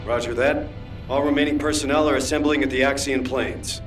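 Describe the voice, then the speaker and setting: A man's voice: mid-range voice, steady tone, brisk, lightly acted. A man voicing a firm, authoritative mission commander giving orders to his units. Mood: commanding. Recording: distant voice, loud music.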